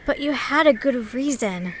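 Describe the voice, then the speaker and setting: A woman playing an apologetic young woman, speaking softly in an amateur audio drama. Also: high voice, lively intonation, normal speed, a little hiss or hum, low-quality recording.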